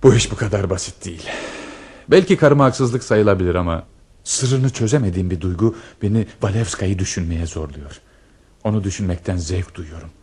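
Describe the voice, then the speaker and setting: An actor playing an earnest, lovestruck married army lieutenant in a Turkish radio play, confiding and dramatic. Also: male voice, deep voice, a little mellow, husky. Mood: earnest, dreamy. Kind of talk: Turkish radio theatre acting.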